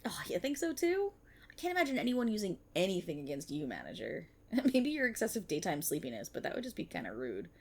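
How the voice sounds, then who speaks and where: A woman playing an excitable, earnest young banshee idol-in-training, chatty and teasing, in an ASMR-style roleplay aimed at the listener. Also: medium pitch, fast, breathy edge, heavily acted. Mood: playful, excited.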